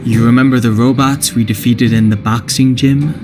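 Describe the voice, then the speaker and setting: An actor playing a calm, analytical young man in a video game, measured and matter-of-fact. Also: medium pitch, close to an everyday voice. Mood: calm, curious. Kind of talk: game cutscene acting. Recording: loud music behind.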